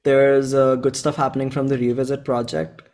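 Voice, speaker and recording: A man's voice: mid-range voice, flat delivery, moderate pace, darkish tone. Enthusiastic young Indian man chatting casually. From Bhopal, India. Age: 20s. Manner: enthusiastic, effusive, slangy. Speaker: indie musician, interview guest. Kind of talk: casual chat.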